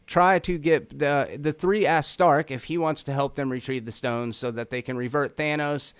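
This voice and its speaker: A man's voice: fairly high pitch, fairly even intonation, moderate pace, clear, resonant tone. A man hosting a casual movie discussion in an informal, emphatic, slangy way. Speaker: host of a movie review show.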